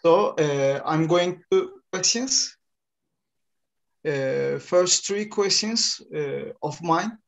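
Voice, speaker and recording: A man's voice: a little high, very slow, vocal fry. A man from Turkey, a coffee roaster, hosting a live-stream interview and asking questions in careful English with fillers. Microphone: video call.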